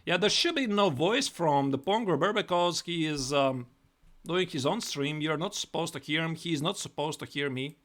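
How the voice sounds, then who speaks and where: A man's voice: a little high, expressive, normal speed, a bit bright. A man with a Latvian accent chatting casually on a live chess stream, repeating and restarting his words.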